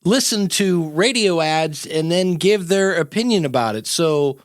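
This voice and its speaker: A man's voice: on the high side, lively intonation, measured pace, dry recording, studio quality. A man hosting a podcast, giving his opinions in a casual, rambling way. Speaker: podcast host.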